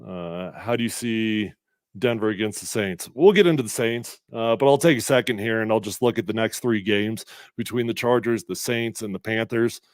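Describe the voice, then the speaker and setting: A middle-aged man hosting casual sports talk, a little congested, energetic and emphatic as he reads comments and makes predictions. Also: lowish voice, normal speed, no echo, studio quality. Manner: energetic, conversational, emphatic with fillers. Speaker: sports radio host previewing a game.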